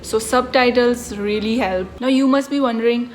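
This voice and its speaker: A woman's voice: a little high, normal speed, a little hiss or hum. A young woman from India, talking casually to the camera in a storytime vlog. Her accent blends Indian English with a style she picked up from Hollywood films. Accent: Indian English mixed with an acquired Hollywood-style accent. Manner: chatty, talks straight to the viewer. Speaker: vlogger.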